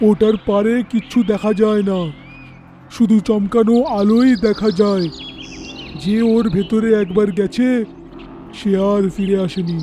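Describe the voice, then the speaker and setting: A man's voice: high voice, steady tone, unhurried, long pauses, warm tone, a little hiss or hum. A man voicing an earnest, dramatic talking forest animal in a cartoon fairy tale. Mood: worried. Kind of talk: cartoon voice acting.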